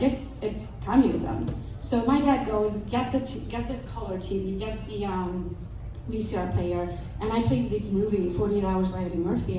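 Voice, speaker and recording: A woman from Romania in her late thirties tells her story to a live audience in an animated, laughing way. She sounds far from the mic in a very echoey room. Steady background noise sits under her voice. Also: medium pitch. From Romania, Transylvania. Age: 30s. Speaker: keynote speaker. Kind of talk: motivational talk to an audience.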